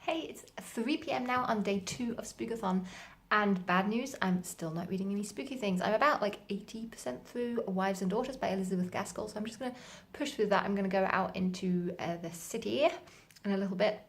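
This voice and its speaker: A woman's voice: mid-range voice, fairly quick. A woman chatting casually as a book vlogger, in an informal, self-deprecating way. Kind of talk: casual chatty reading vlog.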